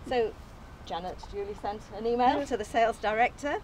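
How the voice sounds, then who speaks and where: A woman's voice: a little high, brisk, darkish tone, slight background noise. An older woman delivering an earnest, modest opening speech outdoors from notes, with small hesitations and light laughs, picked up by a distant camera. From England. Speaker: allotment group founder giving an opening speech. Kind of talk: prepared speech read from notes. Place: outdoors on an open field site. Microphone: camera at a distance, no mic.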